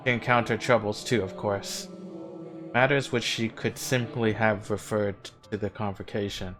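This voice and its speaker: An actor playing the courteous, wistful spirit of an ancient man in a video game cutscene, speaking in formal, measured, gently wry phrases. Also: on the low side, steady tone, lightly acted. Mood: warm reverence. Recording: game dialogue with music underneath. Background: background music.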